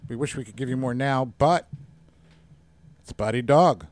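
A man from New York hosting a casual radio interview, upbeat and jokey, quick to chime in. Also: mid-range voice, a little mellow. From Yonkers, New York. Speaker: radio show host.

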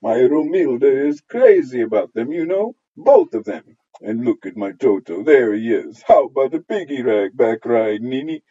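A man voicing a shrill, fussing older neighborhood woman who gossips loudly. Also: animated, moderate pace, hardly any pauses, husky. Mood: fawning. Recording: dull lo-fi audio.

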